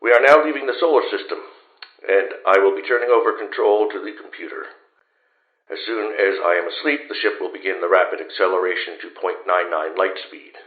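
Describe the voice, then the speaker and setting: Casting: man playing low-voiced colony ship captain, sober and caring, on an echoing radio link for audio drama. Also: brisk. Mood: solemn. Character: sober, caring male colony starship commander. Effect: radio transmission filter. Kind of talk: scripted sci-fi radio play. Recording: echoey, narrow phone-like radio transmission.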